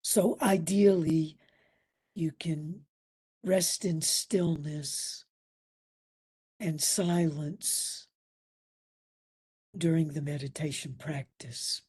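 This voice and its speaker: A woman leads a guided meditation in a soft-edged, breathy voice. Her delivery is very slow and soothing, with long pauses between short phrases, and her tone is dark and mellow. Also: deep voice, fairly even intonation. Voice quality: breathy, at times near a whisper. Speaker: meditation instructor.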